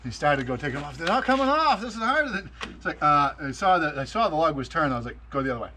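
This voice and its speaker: A man's voice: fairly high pitch, big pitch swings, fast. A man in his forties, an easygoing mechanic who explains what he is doing as he works on tires. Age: 40s. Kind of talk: casual chat. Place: car workshop. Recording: loud workshop noise.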